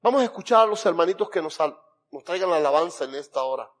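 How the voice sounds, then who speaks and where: Man, Cuban accent, pastor, high voice, fervent, pleading, rhythmic preaching. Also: expressive, fast. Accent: Cuban Spanish. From Cuba. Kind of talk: sermon altar call and prayer.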